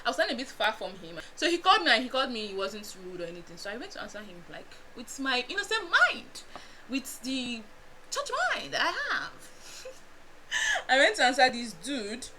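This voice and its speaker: A young Nigerian woman with a Nigerian accent, chatting casually to her viewers in an animated storytime vlog, laughing often and making asides. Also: fairly high pitch, moderate pace, noisy background. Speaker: vlogger.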